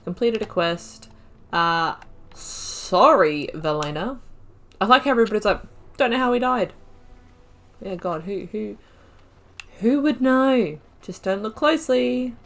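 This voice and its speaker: A woman giving casual playthrough commentary as a gaming video host. Her voice is mid-pitched, and she talks informally, with wry asides as she reads and reacts. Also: unhurried, pauses often, a bit bright. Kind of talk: casual playthrough commentary, reading game text aloud.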